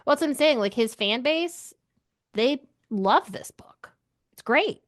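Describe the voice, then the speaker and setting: A woman's voice: sits high, animated, unhurried, no echo, studio quality. A woman talking casually as co-host of a book club podcast.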